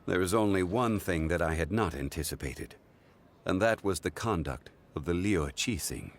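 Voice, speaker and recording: Ancient god for a game: very low, expressive, formal and measured, faint noise behind. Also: male voice. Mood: solemn, measured. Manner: formal, deliberate phrasing. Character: calm ancient male god in human form, dignified, measured. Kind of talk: video game story dialogue.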